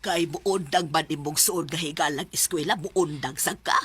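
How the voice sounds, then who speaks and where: A woman playing a concerned, resigned mother in a radio drama, husky, with a Filipino Bisaya accent. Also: sits low, normal speed, slight background noise, lightly acted. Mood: dismayed, resigned.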